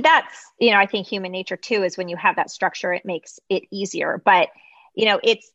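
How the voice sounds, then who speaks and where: A woman's voice: mid-range voice, a bit bright, no room sound. A woman hosting a casual podcast chat, rambling with lots of fillers. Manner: rambling, lots of "you know" and "like". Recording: dry, dull lo-fi sound.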